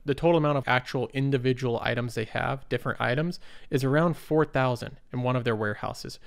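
Smooth-voiced young man explaining stocks. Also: medium pitch. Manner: upbeat, conversational, explains things step by step. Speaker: investing channel host. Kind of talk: casual investing commentary over slides.

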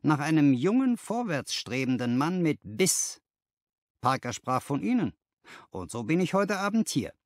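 A man acts out a brisk financial agent in a detective audiobook. He is self-assured and full of flattery, with an emphatic, lively delivery that often jumps higher. The sound is close and dry. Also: dry recording. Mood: confiding, persuasive. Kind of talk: dramatized audiobook dialogue.

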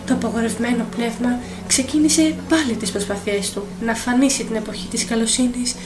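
A woman narrator reading a dramatic, scripted fairy tale for an animated film. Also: a little high. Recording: voice over clear film music.

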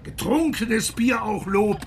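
An actor playing a pompous, rapturous emperor in a radio drama, declaiming in a grandiose manner. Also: male voice, sits high, slow pace, a little hiss or hum. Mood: solemn rapture. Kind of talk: radio drama acting, verse recitation.

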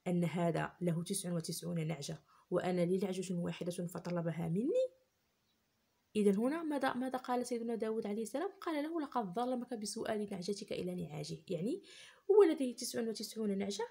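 A woman retelling a religious story for young children, gently explaining and repeating herself as she goes. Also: mid-range voice, vocal fry, slightly rough. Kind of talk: unscripted storytelling for kids.

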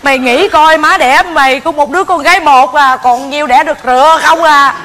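A woman's voice: high-pitched, brisk, crowd noise, lightly acted. A woman playing a wailing, panicky mother. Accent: Southern Vietnamese. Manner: wailing, exaggerated lamenting. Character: panicky, wailing middle-aged mother who calls herself the village's old devil. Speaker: comic stage actor. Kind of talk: comedy sketch acting.

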